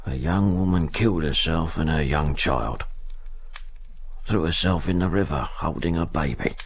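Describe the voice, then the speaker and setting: A man's voice: extremely low pitch, normal speed, slight background noise. An actor playing a kindly, poor old ticket porter in a radio drama, stammering and repeating himself as he fusses. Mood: grim, despairing. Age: older.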